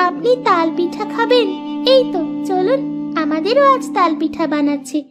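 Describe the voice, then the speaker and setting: A woman's voice: very high-pitched, expressive, moderate pace, over loud music. A woman voicing a sweet, kind little village girl in a fairy-tale cartoon, eager and caring.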